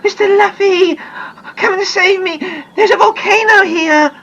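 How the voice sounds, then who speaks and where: A woman voicing a frightened, excitable young girl who cries out in shrill, breathless panic and pleads for help, with soft music behind. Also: unhurried, lo-fi sound. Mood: panicked.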